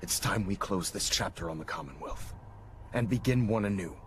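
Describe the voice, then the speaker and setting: A man's voice: low-pitched, moderate pace, fairly bright tone, slight background noise. A man playing a stern, zealous military commander in a video game, speaking with formal, oratorical authority. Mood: formal, proud. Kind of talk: scripted video game dialogue. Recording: game audio with music behind.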